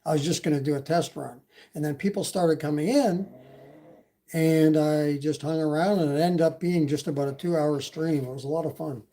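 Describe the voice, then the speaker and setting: A man's voice: a little high, fairly even intonation, moderate pace, warm tone. Rambling Canadian man on a live stream. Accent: slight Canadian. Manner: rambling, many fillers, reads chat. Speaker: e-bike channel host. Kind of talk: casual live stream chat.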